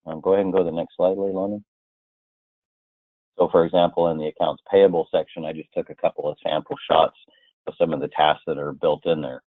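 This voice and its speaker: A man presenting a software training webinar, explaining slide by slide in a conversational way with hypothetical examples. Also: very deep voice, level tone, a little fast, no echo. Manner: explanatory, illustrates with hypothetical quotes. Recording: muffled, tinny phone-like line.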